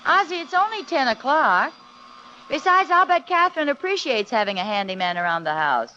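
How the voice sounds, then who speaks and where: A woman playing a sensible, good-humored suburban wife who soothes and gently chides in a mid-century radio sitcom, on a noisy old recording. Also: high voice, big pitch swings, fairly quick, dull audio, exaggerated voice. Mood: gently chiding.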